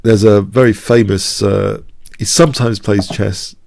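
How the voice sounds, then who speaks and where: A man's voice: fairly low pitch, fairly even intonation, unhurried, gravelly, darkish tone, a little hiss or hum. A man with a cold commentating on his own blitz chess games, hesitant and prone to stammering restarts. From not from the United States. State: congested with a cold. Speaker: chess streamer.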